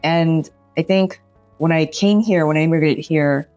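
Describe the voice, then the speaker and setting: A woman from South Korea co-hosting a casual podcast, encouraging and quick to laugh. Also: low voice, no room sound, faint music behind. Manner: lively, encouraging, laughs a lot. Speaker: podcast co-host.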